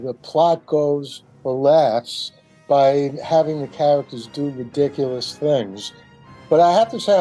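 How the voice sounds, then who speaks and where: A man joins a casual panel discussion as a guest. His voice is mellow and a little high, and he makes his points in a measured, analytical way. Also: low-quality recording. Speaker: roundtable guest.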